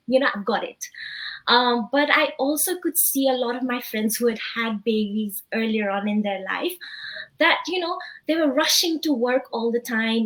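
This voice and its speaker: A woman's voice: on the high side, rough recording. Nepali-born woman in her thirties, chatty. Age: 30s. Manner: chatty, reflective, says "you know" often. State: unwell. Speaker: guest. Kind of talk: casual interview.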